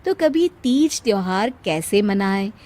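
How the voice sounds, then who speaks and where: A woman's voice: medium pitch, lively intonation, takes it slowly, dark tone. A woman reading scripted narration for a cartoon moral story as a storyteller.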